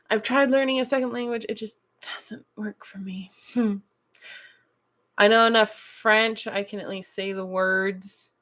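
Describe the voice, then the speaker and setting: A young adult woman from the United States hosting a casual chat stream, blunt and animated, laughing often as she talks. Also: mid-range voice. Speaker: stream host. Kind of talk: casual chat reading chat messages.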